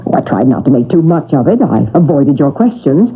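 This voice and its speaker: Grave older mom in an old radio play, insistent, swoopy delivery, muffled sound. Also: female voice, sits low, sing-song, brisk, husky, soft background music, heavily acted. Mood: grave, concerned. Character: earnest older mother convinced of psychic forces. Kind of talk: 1970s radio drama acting. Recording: muffled vintage radio broadcast.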